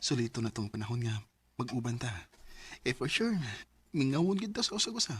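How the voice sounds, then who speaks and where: Husky, earnest young Bisaya boyfriend. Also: male voice, medium pitch, sing-song, a little fast. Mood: hopeful, tender. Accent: Filipino Bisaya. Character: devoted, hopeful young boyfriend, earnest and patient. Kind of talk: Filipino radio drama acting.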